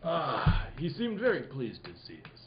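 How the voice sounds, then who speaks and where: Cheeky British detective, played by a man, mid-pitched, lively intonation, halting mock-formal radio comedy read. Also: slight background noise. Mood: self-assured, halting. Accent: put-on British. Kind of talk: comic live reading of a radio play. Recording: dull, roomy live recording.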